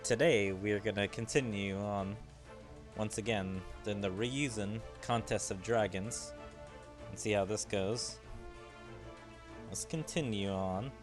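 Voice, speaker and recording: A man hosting a gaming video, giving casual, low-key gameplay commentary with rambling asides. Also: measured pace, long pauses. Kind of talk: casual gameplay commentary, reading game dialogue aloud. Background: game music.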